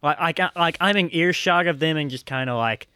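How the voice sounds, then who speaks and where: A man's voice: a little high, brisk, pushed and bright, smooth, dry recording, clean studio sound. A man chatting casually as a player in a tabletop game, improvising in a hesitant, wry way.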